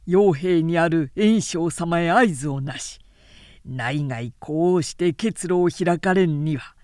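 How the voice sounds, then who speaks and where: A woman's voice: fairly low pitch, slow pace, darkish tone, no room sound, clean studio sound. A woman voicing an earnest, ruthless male military adviser in an audiobook, speaking in a firm, formal, persuasive way. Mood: firm, urging.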